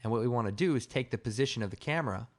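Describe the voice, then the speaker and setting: A man with a slightly hoarse, raspy voice giving a casual, informal software tutorial, explaining step by step. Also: medium pitch, very fast. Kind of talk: casual screen-recorded tutorial narration.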